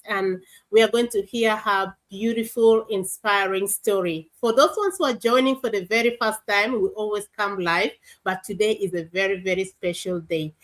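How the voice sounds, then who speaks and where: A woman's voice: mid-range voice, fairly bright tone. Earnest woman hosting. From South Sudan. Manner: earnest, repeats words for emphasis. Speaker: host. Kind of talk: livestream interview.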